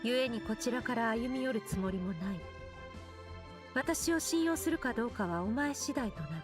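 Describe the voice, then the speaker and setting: A woman voicing a cold, aloof female swordmaster in a game, measured and detached. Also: a little high, lively intonation, natural voice. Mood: cool. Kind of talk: game voice acting. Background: game music.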